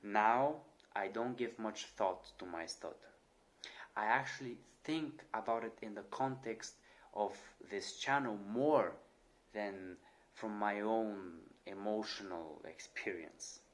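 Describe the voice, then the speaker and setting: A young man with a fairly low voice hosts his own channel. He speaks earnestly and reflectively about personal experience. Small stutter-like repetitions and restarts sometimes break his flow. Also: slow pace. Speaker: channel host. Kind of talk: personal talk to camera.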